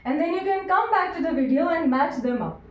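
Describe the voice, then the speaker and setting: A woman teaching English grammar, repeating each sentence slowly word by word and asking her students questions. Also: very high voice, lively intonation. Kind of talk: classroom grammar lesson. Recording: echoey room, voice from a distance.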